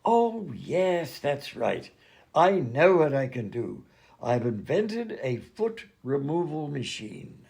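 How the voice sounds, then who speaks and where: High-voiced, creaky eccentric doctor. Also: male voice, very animated, slow pace, a little mellow, exaggerated voice. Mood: breezy, fussy. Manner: fussy, polite, rambling. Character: eccentric, absent-minded male mad doctor and inventor, breezily unconcerned. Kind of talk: comedy radio-play acting.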